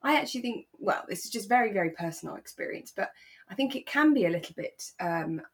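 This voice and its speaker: A woman co-hosting a podcast in relaxed, reflective, conversational chat. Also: mid-range voice, fast. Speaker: podcast co-host. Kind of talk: relaxed casual chat about a radio drama.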